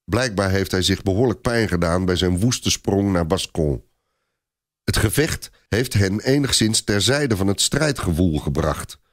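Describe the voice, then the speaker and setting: A man's voice: deep voice, lively intonation, unhurried. A man narrating a scripted adventure story in a theatrical, suspenseful manner.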